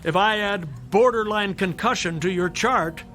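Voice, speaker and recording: An actor playing a brisk, wry, persuasive hospital doctor in a radio drama, dry and insistent. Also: male voice, high-pitched, pushed and bright, soft background music.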